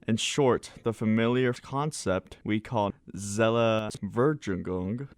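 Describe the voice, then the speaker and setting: A pompous, muddled doctor of the mind acted by a man, low in pitch and recorded close and dry. He is wordy and self-important, in radio play style. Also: slow, dry recording. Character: pompous, muddled psychiatrist spouting jargon. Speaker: amateur radio drama actor. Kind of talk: radio drama acting.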